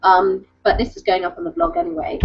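A woman's voice: mid-range voice, very animated, fast talker, creaky, a little hiss or hum. Chatty woman on a muffled video call. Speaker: craft blogger. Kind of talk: casual craft show-and-tell. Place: book-lined room at home. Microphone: webcam video call. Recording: dull lo-fi video call audio.

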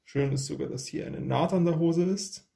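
A man's voice: fairly high pitch, moderate pace, creaky, dark tone. A man hosting an unboxing, giving casual product review commentary.

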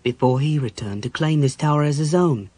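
A man's voice: lowish voice, fairly even intonation, unhurried. Man voicing a grim, haunted big warrior. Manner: grim, weighty dramatic delivery. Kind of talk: dramatic fantasy audiobook dialogue.

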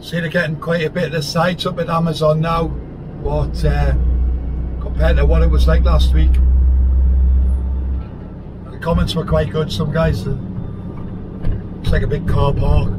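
Hoarse truck driver vlogging from the cab, pitched a touch high, chatty and rambling; loud engine and road noise throughout. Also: male voice, level tone, measured pace, pauses often. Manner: chatty, rambling, self-deprecating. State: hoarse, lost voice from a cough. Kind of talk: casual driving vlog. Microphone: dashcam-style camera in the cab.

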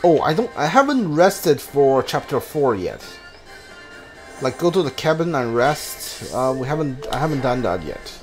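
A man giving casual video game commentary, halting and mumbling as he reads text from the screen. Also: mid-range voice, sing-song. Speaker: gaming streamer. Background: video game music.

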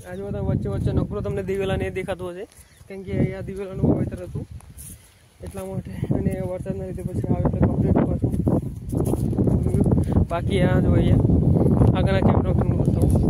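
A man's voice: sits high, heavy background noise. A man, chatting casually as he vlogs on a handheld phone out in an open field. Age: 20s. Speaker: farm vlogger. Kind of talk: casual vlog narration while walking. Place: open farm field outdoors. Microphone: handheld phone at arm's length.

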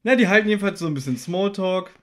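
A man talking casually on a podcast, walking through an old radio play. His voice sits a little high for a man, and he explains things patiently with a teasing edge. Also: quick pace. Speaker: podcast co-host.

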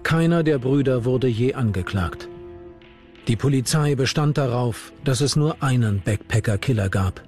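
A man's voice: mid-range voice, expressive, normal speed, a little mellow, faint music behind. A man reading scripted narration for a true-crime documentary.

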